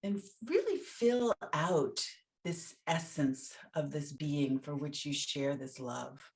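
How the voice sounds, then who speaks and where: Female meditation teacher, low register, very unhurried. Gentle, soothing guidance in short, spaced phrases. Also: expressive. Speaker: meditation teacher leading a guided session. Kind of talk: guided meditation.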